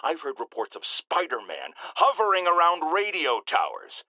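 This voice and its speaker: Loud bombastic paranoid radio host dude from a game, middle-aged, sharp forceful voice, super dry studio sound, ranting all indignant. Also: male voice, a little high, wildly swooping pitch, moderate pace, dry recording, heavily acted. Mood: suspicious, outraged. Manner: blustering, indignant, theatrical emphasis. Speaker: radio call-in show host. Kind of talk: ranting talk radio monologue.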